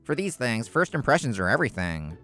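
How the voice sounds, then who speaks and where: A man's voice: mid-range voice, sing-song, a little fast, pushed and bright, faint music behind. A man playing a vain, envious young office worker in a manga story, with a smug, indignant manner. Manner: indignant, smug, stammering when flustered.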